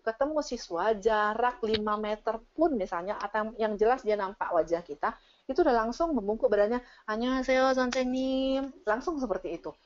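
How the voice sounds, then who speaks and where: A woman's voice: mid-range voice, animated, brisk. Dull-sounding call, woman explaining animatedly. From Indonesia. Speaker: teacher and speaker sharing her experience. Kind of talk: casual presentation answering audience questions. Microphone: online video call. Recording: dull, lo-fi online meeting audio.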